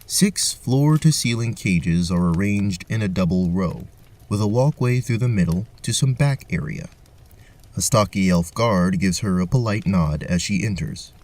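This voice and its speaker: A man's voice: low-pitched, animated, a little slow, darkish tone, distant mic. A man narrating a scripted tabletop fantasy story as the game master.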